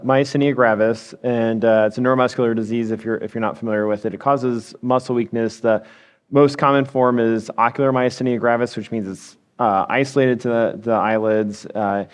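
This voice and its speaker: Man, podcast guest, candid and hesitant, frequent restarts, mid pitch. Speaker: guest, physician assistant. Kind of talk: casual interview answers.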